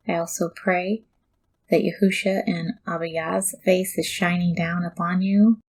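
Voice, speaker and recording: A woman hosting a devotional scripture reading, speaking in a reverent, deliberate and formal manner. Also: on the low side, darkish tone, slightly rough, rough recording. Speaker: host and scripture reader. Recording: music bed under the voice. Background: background music.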